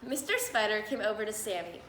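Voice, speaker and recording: A woman's voice: mid-range voice, lively intonation, a little fast, a bit bright. A woman reading a children's storybook aloud as narrator. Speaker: storybook reader. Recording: roomy echo, faint background noise.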